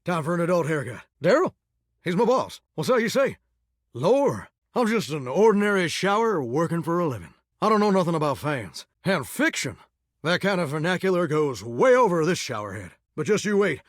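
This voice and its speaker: Bubbly male showerhead in a dating game, high and bouncy, folksy drawl, dry studio sound. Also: big pitch swings, moderate pace, no echo. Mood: upbeat. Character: eager male talking showerhead with big pop-star dreams. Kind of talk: game voice acting.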